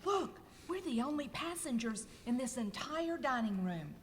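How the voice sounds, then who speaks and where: A woman's voice: sits high, big pitch swings, measured pace. A woman playing a worried, suspicious cruise passenger in an amateur horror comedy radio skit. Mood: anxious. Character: worried, suspicious female cruise passenger piecing clues together. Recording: echoey hall, background noise.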